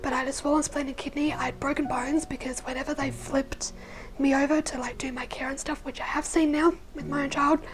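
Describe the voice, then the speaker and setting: A young woman with a husky, hoarse voice, casually telling her personal story. She rambles with lots of fillers and often drops to a soft, breathy near-whisper. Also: sits high, level tone, a little fast. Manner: rambling, with many fillers like "like" and "you know". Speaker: vlogger sharing her life story. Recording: music playing underneath the voice.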